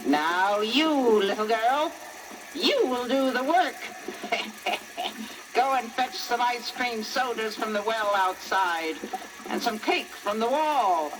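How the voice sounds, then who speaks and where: Slow, high cackling old witch. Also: background noise. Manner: slow, gloating, menacing delivery. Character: scrawny old wicked witch, gleeful, greedy child-eater. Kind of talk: children's story record acting. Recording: old story record with music.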